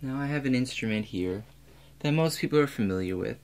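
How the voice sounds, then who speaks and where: A man in his twenties speaking softly and calmly as a doctor in an ASMR role play, guiding the listener step by step. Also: mid-range voice. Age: 20s. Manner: calm, measured, instructing the listener step by step. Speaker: role-player acting as an examining doctor. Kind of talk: soft-spoken ASMR medical role play. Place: small room indoors. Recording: clear steady background hum.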